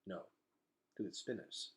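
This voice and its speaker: A man's voice: unhurried, a bit bright, close to an everyday voice. A man voicing a grave old underground leader who speaks formally and with authority in a storybook reading. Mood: grave, measured. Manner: solemn, formal, urgent orders.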